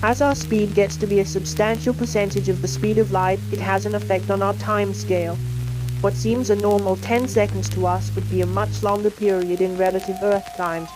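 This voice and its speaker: A woman's voice: medium pitch, low-quality recording. Synthetic female science film narrator over music. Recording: music playing under the voice.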